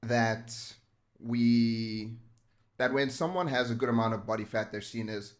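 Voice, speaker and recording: A man's voice: mid-range voice, measured pace. A man hosting a casual interview, asking thoughtful questions and often restarting phrases as he thinks. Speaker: podcast host.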